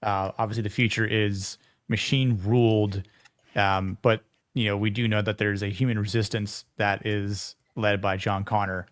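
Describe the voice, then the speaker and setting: A man's voice: on the low side, little pitch movement, normal speed, smooth, no room sound, studio quality. A man hosting a casual film discussion, rambling with many fillers and frequent laughs. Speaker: podcast host.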